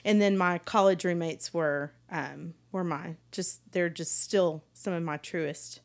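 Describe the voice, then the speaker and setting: A woman's voice: fairly low pitch, a little slow. A middle-aged woman, casually telling her life story as a podcast guest. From East Texas, United States.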